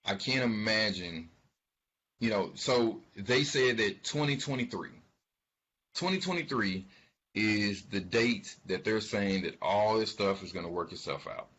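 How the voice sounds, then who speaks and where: A man giving informal financial commentary, speaking haltingly and emphatically, repeating words for stress. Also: on the low side, a bit bright, slight background noise. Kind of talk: informal spoken commentary on an earnings call. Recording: dull, lo-fi sound.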